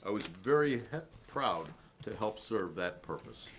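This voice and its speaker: A man's voice: on the low side, animated, measured pace, a little hiss or hum. A man reading the part of a combative working-class salesman testifying at a 1950s hearing, formal, righteous and long-winded. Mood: measured. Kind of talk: dramatic reading of a hearing transcript.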